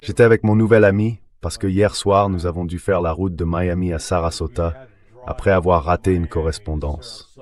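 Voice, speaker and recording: Male commentator, notably low voice, translated synthetic read of conversational political analysis. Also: fairly even intonation, normal speed. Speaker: guest commentator.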